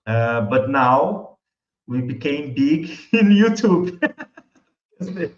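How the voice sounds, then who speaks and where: A man's voice: a little high, very animated. A man from Brazil with a Brazilian Portuguese accent, a native Portuguese speaker, talking casually as an interview guest and searching for words in English. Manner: halting, searching for words, often chuckling. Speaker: interview guest, wine YouTube creator. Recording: muffled, echoey room sound.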